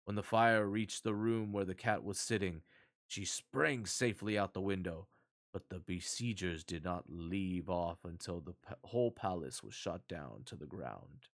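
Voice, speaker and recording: A man narrating a fairy tale aloud as a storyteller, with measured, dramatic phrasing that drops at line endings. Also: low voice.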